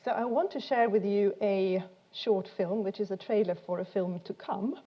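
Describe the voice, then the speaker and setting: A woman's voice: medium pitch, moderate pace. Woman giving a talk, slightly muffled. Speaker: speaker presenting a talk. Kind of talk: informal science talk. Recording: dull, muffled talk recording.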